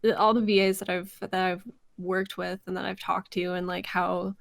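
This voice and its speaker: An American woman with some vocal fry, talking casually as an interview guest and telling stories by acting out conversations. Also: on the low side, moderate pace, no echo. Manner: animated storyteller, re-enacts conversations. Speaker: podcast guest, voice actress. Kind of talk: casual interview chat, anecdotes.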